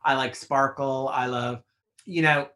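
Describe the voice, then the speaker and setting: Bright-voiced chatty man in fashion. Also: medium pitch, steady tone, a little slow. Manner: chatty, rambling, full of fillers. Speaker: fashion editorial director. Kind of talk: interview answers.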